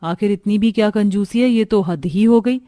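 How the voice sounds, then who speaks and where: A woman's voice: medium pitch, normal speed, mellow, dry recording, clean studio sound, close to an everyday voice. A woman voicing an outspoken, indignant young daughter-in-law from a poor family in a cartoon story. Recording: music under the voice.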